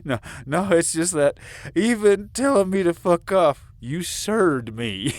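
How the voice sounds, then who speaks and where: Blunt, very animated sheriff. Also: male voice, high-pitched, slow, no echo, heavily acted. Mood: informal. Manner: blunt, informal authority. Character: gruff, plain-spoken sheriff, hot-headed but fair. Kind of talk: audio drama acting.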